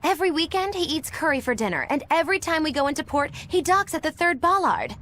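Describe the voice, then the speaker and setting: A woman's voice: high voice, animated, fast, fairly bright tone, slight background noise. A woman voicing a mischievous, blunt young girl in an English anime game dub, playful and teasing in her delivery. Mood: gossipy.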